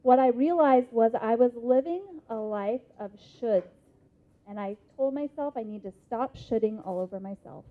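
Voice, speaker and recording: A woman in her thirties with an American accent gives an interactive motivational talk in a conference hall, speaking emphatically with deliberate pauses. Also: a little high. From Idaho, United States. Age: 30s. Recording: muffled lo-fi live hall recording.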